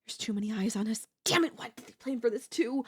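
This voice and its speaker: A woman's voice: on the high side, expressive, fast talker, husky. A woman playing an obsessive, scheming yandere in a theatrical, haughty manner with formal phrasing, in an ASMR audio roleplay. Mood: frustrated, incredulous.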